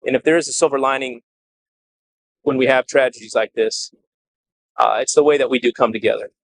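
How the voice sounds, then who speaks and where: Measured, halting male police chief, dry sound. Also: medium pitch, fairly even intonation, creaky, no room sound, poor audio. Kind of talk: press conference statement and answers. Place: press briefing at a hospital.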